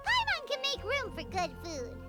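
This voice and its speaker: A woman voicing a tiny, excitable, childlike fairy companion who chirps away about herself in the third person in a video game. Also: very high voice, normal speed, heavily acted. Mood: excited. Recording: music playing behind the voice.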